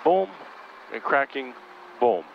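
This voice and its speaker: Male flight instructor, gravelly voice, calm coaxing commands, muffled headset intercom, faint engine hum behind. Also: medium pitch, takes it slowly. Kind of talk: in-flight coaching. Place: helicopter cockpit in flight. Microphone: aviation headset intercom.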